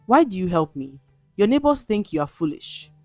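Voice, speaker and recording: Starving young beggar man, voiced by a woman narrator, frail and grateful, storybook delivery, animated, dry recording. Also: mid-range voice, moderate pace, dry recording, soft background music, natural voice. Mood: questioning.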